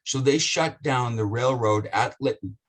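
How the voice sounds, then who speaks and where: A man with a rough voice talking passionately and bluntly in a casual business discussion, swearing often. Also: medium pitch, unhurried.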